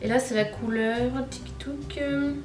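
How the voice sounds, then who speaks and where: A woman's voice: high voice, fairly even intonation, slow, recorded from a distance, music in the background. A woman in her thirties from Normandy, chatting casually to camera as a beauty vlogger, gushing and repeating herself with many fillers. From Normandy, France. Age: 30s. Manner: gushing and repetitive, trails off with fillers. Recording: echoey room, off-mic.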